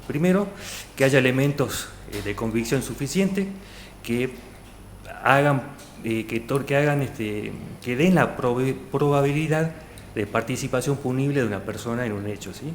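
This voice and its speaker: A man's voice: medium pitch, normal speed. A man in his thirties from Salta, Argentina, answering a formal interview panel, hesitant, with many fillers and restarts. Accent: Argentine Spanish, Salta. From Argentina, Salta province. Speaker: job candidate. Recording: echoey room, faint noise.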